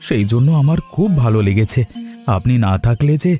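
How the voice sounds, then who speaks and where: A man's voice: mid-range voice, expressive, brisk, warm tone, soft background music, lightly acted. A man playing a humble, hardworking village woodcutter in a cartoon, plaintive and earnest. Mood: grateful, earnest. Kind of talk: Bengali cartoon story acting.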